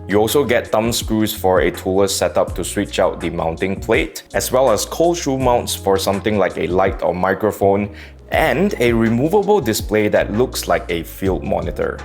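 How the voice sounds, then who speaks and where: A man's voice: fairly low pitch, gravelly, darkish tone. A man with a Malaysian accent reviewing gear from a script, dropping wry joking asides. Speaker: tech reviewer.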